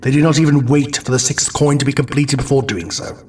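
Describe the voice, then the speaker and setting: A man playing an ancient, stern and weary wizard in an audio drama, speaking solemnly and deliberately. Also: medium pitch, steady tone, distant mic, lightly acted. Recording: off-mic with faint noise.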